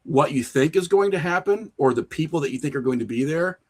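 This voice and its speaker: A man's voice: a little high, animated, a little fast, a little mellow, breathy edge. Middle-aged man, opinionated and rambling. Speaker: podcast guest, horror filmmaker and writer. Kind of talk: casual interview conversation.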